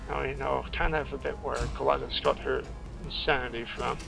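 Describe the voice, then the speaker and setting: A man's voice: mid-range voice, level tone, normal speed, vocal fry. A man giving casual playthrough commentary on a game, stretching words for emphasis. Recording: loud game music behind voice. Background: game music and sounds.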